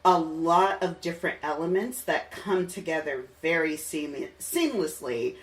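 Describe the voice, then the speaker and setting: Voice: mid-range voice, expressive, a little slow. Chatty podcast host, slightly off the mic. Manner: enthusiastic, chatty. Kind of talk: casual interview conversation. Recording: slightly distant mic, music underneath.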